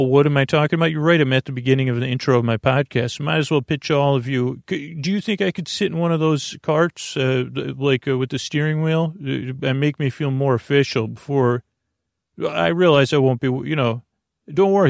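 A man with a creaky voice hosting a sleep podcast, rambling through a goofy, self-deprecating bedtime monologue with halting repeats, drawn-out words and droning tangents. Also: mid-range voice, no room sound, clean studio sound. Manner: meandering, halting, repetitive, with trailing tangents.

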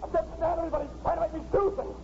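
High-strung, high-voiced young man. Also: steady tone, rapid-fire, vocal fry. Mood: agitated. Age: young adult. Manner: nervous, jumpy, defensive. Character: agitated, fragile young man threatening to jump. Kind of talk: 1950s radio drama acting. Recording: noisy, dull old radio broadcast.

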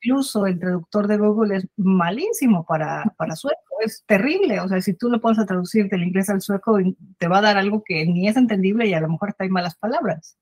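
A woman's voice: mid-range voice, expressive, fairly quick, hardly any pauses, darkish tone, slightly rough, no echo. A woman hosting a conversational interview, chatty, often closing her points with a questioning tag. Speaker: host.

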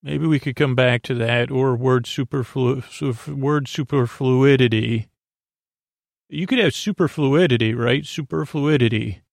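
A man hosts a bedtime podcast in a gravelly, creaky voice at a middling pitch. He wanders from tangent to tangent, stalling on fillers and restarting words. The recording is close and dry, with no room sound. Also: no echo. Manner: meandering, halting, many fillers and restarts. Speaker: sleep podcast host. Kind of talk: rambling bedtime podcast intro.

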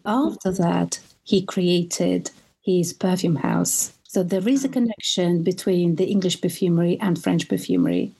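Russian-accented woman, mellow and enthusiastic. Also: mid-range voice, gravelly, slightly rough. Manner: enthusiastic storytelling with occasional restarts. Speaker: guest, perfume tour guide. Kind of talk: conversational interview.

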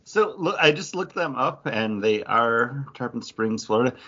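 A man's voice: mid-range voice, animated, moderate pace, fairly bright tone, breathy edge, highs cut off. A man hosting a podcast, giving a casual review in a conversational way with slangy asides. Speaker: podcast host.

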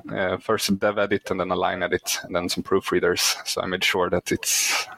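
A man's voice: low-pitched, little pitch movement, normal speed, gravelly, bright, forceful tone, dry recording, poor audio. A Swedish man whose first language is not English, a guest author answering podcast questions hesitantly with many fillers. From Sweden. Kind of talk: podcast interview.

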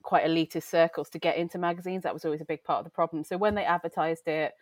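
A woman's voice: sits low, steady tone, quick pace, dry recording. Chatty woman with a light Welsh accent. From Wales. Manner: chatty, reflective, frequent fillers and self-corrections. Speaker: beauty journalist, guest. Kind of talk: casual conversational interview.

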